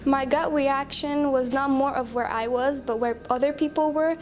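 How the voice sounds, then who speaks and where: A woman taking part in an experiment, calmly reflecting in an interview. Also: high-pitched, moderate pace, slight background noise. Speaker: experiment participant.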